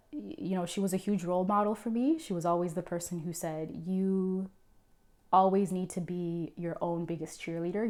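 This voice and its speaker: A young woman from Zimbabwe who is a founder and creative director, telling her personal story in an earnest, reflective way with frequent ums. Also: on the low side. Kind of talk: personal story told to camera.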